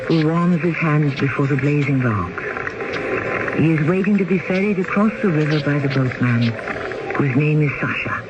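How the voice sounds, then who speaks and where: A man narrating the opening of a radio drama in a measured, solemn way. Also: on the high side, very noisy. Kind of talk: scripted narration. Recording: dull old radio broadcast with music.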